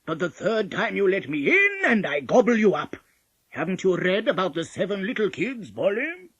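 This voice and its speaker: A woman's voice: mid-range voice, sing-song, moderate pace, fairly bright tone, husky, no echo, lo-fi sound, voice pushed up. A woman voicing a scheming, gullible male wolf from a storybook, harsh, emphatic and easily exasperated. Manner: blustering, plaintive, emphatic.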